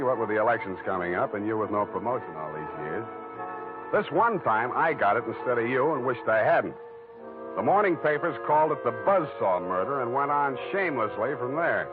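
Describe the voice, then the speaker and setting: An actor playing a wry, world-weary American private detective, hard-boiled and clipped, in 1940s radio noir narration and dialogue. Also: male voice, medium pitch, natural voice. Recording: thin, narrow-band 1940s radio recording.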